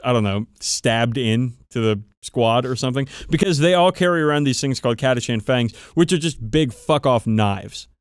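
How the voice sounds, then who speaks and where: A man's voice: medium pitch, animated, normal speed, few pauses, no room sound, clean studio sound. A man hosting a podcast, chatting casually and enthusiastically about game lore with emphatic swearing. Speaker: podcast host. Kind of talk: casual, profane hobby chat explaining game lore.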